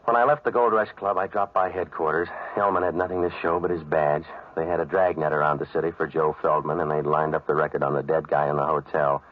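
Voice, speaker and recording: An actor playing a cynical, wisecracking private investigator in 1940s radio style, terse, deadpan and sardonic. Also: male voice, extremely low pitch, quick pace, few pauses. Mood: wry, weary. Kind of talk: 1940s radio detective acting with first-person narration. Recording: dull, lo-fi old radio recording.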